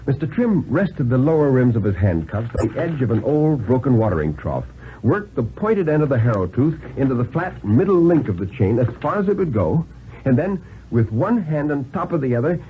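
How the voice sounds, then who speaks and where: Expressive man reading on vintage radio. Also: mid-range voice, slight background noise. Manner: deliberate, dramatic, expressive phrasing. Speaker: storyteller reading a thriller story. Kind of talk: 1950s radio dramatic reading of prose. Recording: narrow-band vintage 1950s radio recording.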